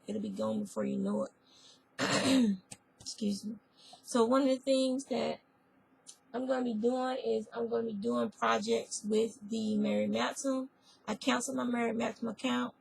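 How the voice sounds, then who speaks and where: A woman with a voice worn hoarse by a cold, chatting casually about her crafts. Her tone is raspy and gravelly throughout. She rambles and circles back to correct herself. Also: on the high side, steady tone, normal speed, poor audio. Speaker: fiber arts vlogger. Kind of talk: casual chat.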